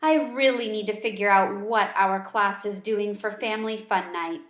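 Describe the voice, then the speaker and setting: A woman's voice: medium pitch, steady tone, normal speed, roomy sound, natural voice. A woman reading the part of a busy, good-humored female schoolteacher in a storybook, conversational and practical. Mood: practical, amused.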